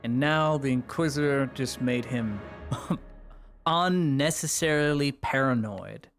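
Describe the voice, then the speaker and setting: A man streaming a roleplay, narrating his own character in the third person in a slow, musing way with many pauses. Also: mid-range voice, soft background music.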